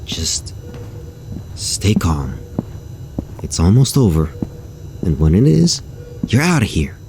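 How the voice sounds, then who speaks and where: A man's voice: deep voice, big pitch swings, takes it slowly, noisy background, exaggerated voice. A man playing an exasperated, anxious host of a nightmare game show in an audio drama, sarcastic and showy. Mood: tense resignation.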